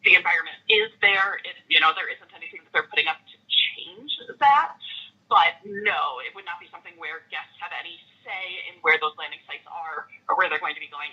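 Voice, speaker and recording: A woman's voice: fairly low pitch, pushed and bright. A woman working as a travel agent, explaining things patiently and firmly to a customer over the phone. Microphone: telephone. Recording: dull, band-limited phone line.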